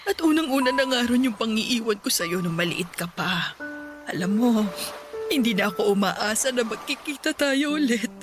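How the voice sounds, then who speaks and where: A woman's voice: on the high side, lively intonation, moderate pace, close to an everyday voice. An actress playing a weary, guilt-ridden old mother in a radio drama, speaking hesitantly and self-effacingly. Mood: remorseful. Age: older. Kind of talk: Tagalog radio drama acting. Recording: music under the dialogue.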